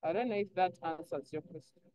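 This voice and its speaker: A woman's voice: lowish voice, a little fast, mellow, dry recording. A woman teacher explaining step by step in an online lesson, instructive, often posing prompting questions and answering them herself. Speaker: chemistry teacher. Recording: dull lo-fi online class audio.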